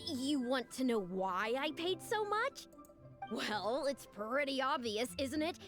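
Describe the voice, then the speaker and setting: A woman voicing an excitable, naive, money-hungry young bidder in a video game. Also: sits high, slow pace, soft background music, exaggerated voice. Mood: gleeful, confident.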